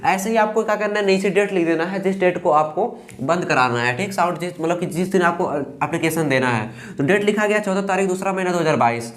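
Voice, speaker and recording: A young man with an eastern Uttar Pradesh Hindi accent teaching a lesson, reading English lines slowly and carefully. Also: high voice, a bit bright. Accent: Hindi speaker from eastern Uttar Pradesh. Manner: slow and careful when reading English lines, fillers "ठीक" between steps. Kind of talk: explaining a lesson.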